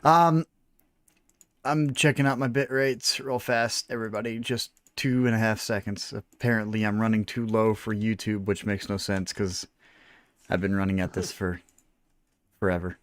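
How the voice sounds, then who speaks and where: A man's voice: on the low side, fairly even intonation, moderate pace, no echo. A man in his early twenties hosting a casual gaming livestream, chatty, laughing often and full of fillers. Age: early 20s. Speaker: game streamer host.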